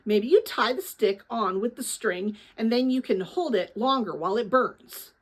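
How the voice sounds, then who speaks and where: A woman's voice: a little high, moderate pace, natural voice. Woman reading as an earnest, puzzled young boy. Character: earnest, puzzled boy exploring, full of wonder.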